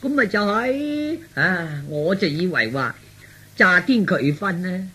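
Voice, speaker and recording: A man playing a flustered young reluctant fiancé, stammering and whining in a husky voice for a radio comedy. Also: high-pitched, expressive, slow, sharp tone, heavily acted. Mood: dejected. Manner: stammering, repetitive, whiny. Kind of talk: Cantonese radio comedy acting.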